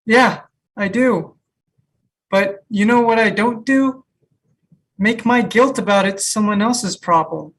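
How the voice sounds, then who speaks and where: Woman playing a slow-paced, deadpan, easygoing co-worker. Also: mid-range voice, slightly rough. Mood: frank, reassuring. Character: easygoing, frank, teasing new co-op hire Noah. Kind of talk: comedy table read.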